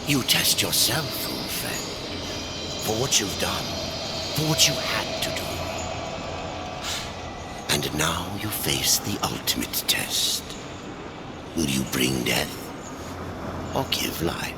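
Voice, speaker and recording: Slow, deep old Crowfather. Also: big pitch swings, vocal fry, heavy background noise, full character voice. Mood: solemn challenge. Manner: slow, portentous, dramatic warnings. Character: Crowfather, old solemn cryptic male keeper of secrets. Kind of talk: dark fantasy video game acting. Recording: loud music behind the voice.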